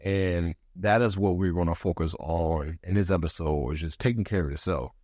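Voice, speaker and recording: Podcast host, man in his thirties: deep, slightly congested from allergies, thoughtful and unhurried, dry sound. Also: a little mellow, no room sound. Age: 30s. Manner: reflective, pauses to gather thoughts. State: congested from allergies, voice deeper than usual. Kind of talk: casual solo podcast monologue.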